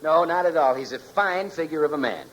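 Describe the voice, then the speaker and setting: A man's voice: a little high, animated, fairly quick, a bit bright. A man working as announcer and straight man in a scripted 1940s radio comedy. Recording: very noisy old radio recording, clicks.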